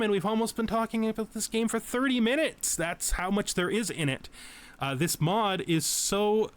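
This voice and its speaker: A man's voice: sits high, a bit bright. Man talking through a strategy game tutorial. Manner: explanatory, thinking aloud. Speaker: let's play host giving a game tutorial. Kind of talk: casual gaming tutorial commentary.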